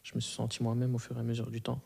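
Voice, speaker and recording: A young man in his early twenties who grew up in Belgium with Arabic as his first language. His voice is soft-edged and mellow. He talks casually as an interview guest, pausing to find his words. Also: mid-range voice, completely flat delivery, vocal fry, husky. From moved to Belgium at five, grew up there. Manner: hesitant, searching for words. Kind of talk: casual, reflective interview answers.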